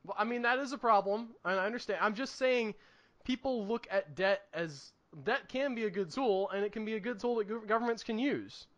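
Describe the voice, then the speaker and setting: A man co-hosting a podcast in casual comedic chat, telling stories in an emphatic, animated way with repetition for effect. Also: high voice, brisk, dull audio. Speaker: podcast co-host.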